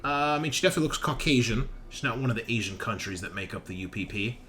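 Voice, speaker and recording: A man's voice: medium pitch, animated, fast talker, fairly bright tone. A man narrating a tabletop roleplaying game as game master, with casual, off-the-cuff storytelling.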